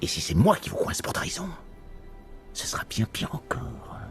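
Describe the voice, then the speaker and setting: A man's voice: medium pitch, huge pitch swings, moderate pace, over music. A man voicing an arrogant, theatrical mad-genius doctor in a film dub, sneering and menacing with pompous, mocking speech. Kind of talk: French film fan dub acting.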